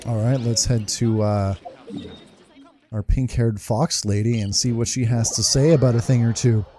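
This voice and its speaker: A man's voice: mid-range voice, steady tone, normal speed, warm tone, a little hiss or hum. A man giving casual, slangy playthrough commentary as host of a gaming video, reacting aloud.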